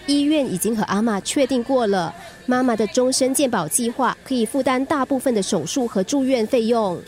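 Gentle, high-voiced Singaporean daughter played by a woman. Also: normal speed, soft background music, close to an everyday voice. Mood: polite. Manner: polite, pleading. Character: gentle young daughter, earnest, polite, peacemaking. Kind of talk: Mandarin radio drama acting.

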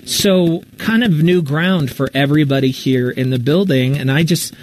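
A man's voice: a little high, moderate pace, darkish tone, a little hiss or hum. A man talking casually and candidly about his own work in a live Q&A, with a self-deprecating manner. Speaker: radio talk show host and podcast storyteller.

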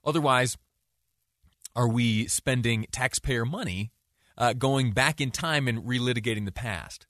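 A man hosting a talk show, giving conversational news commentary in a deliberate way with rhetorical questions and emphatic repetition. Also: medium pitch, fairly bright tone, no echo, clean studio sound.

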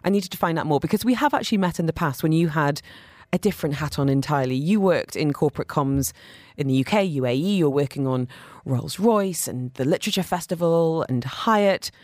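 Female radio food presenter, slightly low register, emphatic and effusive interviewer, tight dry studio. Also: animated, a little fast, darkish tone, dry recording. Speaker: radio food show host.

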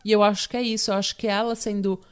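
A woman's voice: medium pitch, level tone, very fast, clean tone. A young woman with a Brazilian accent, hosting a podcast and telling a true crime story in a casual, chatty way with frequent fillers. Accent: Brazilian Portuguese. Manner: chatty storyteller, laughs often, many fillers. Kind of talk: casual chat.

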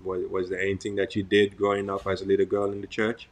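A man's voice: low-pitched, monotone, fast. A man co-hosting a faith interview show, welcoming a guest and leading a prayer, with many hesitations and repeated welcomes.

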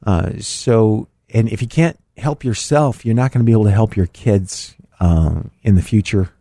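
A middle-aged man hosting a live call-in radio show from a studio, his voice slightly raspy and congested, talking in a rambling way with many fillers. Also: on the low side, moderate pace, mellow, no room sound. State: raspy, a bit congested after illness. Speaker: radio show host, financial advisor.

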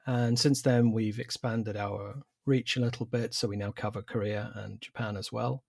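Measured English man, forties, low husky voice, dry close recording. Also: fairly even intonation, no echo. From England. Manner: measured, thoughtful, with fillers and restarts. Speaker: publisher, guest. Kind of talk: interview answers.

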